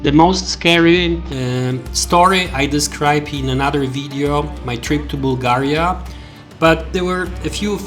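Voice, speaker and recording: A man's voice: fairly high pitch, unhurried, a bit bright. Middle-aged European man over music. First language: not English. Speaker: vlogger. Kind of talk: personal travel recollections to camera.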